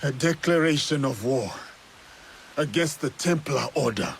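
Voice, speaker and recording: Casting: resolute game samurai out for vengeance, gravelly, solemn, defiant, slight hiss on the track. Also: male voice, mid-range voice, expressive, a little slow. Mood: solemn resolve. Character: vengeful, resolute male samurai, a former slave. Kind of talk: dramatic video game acting.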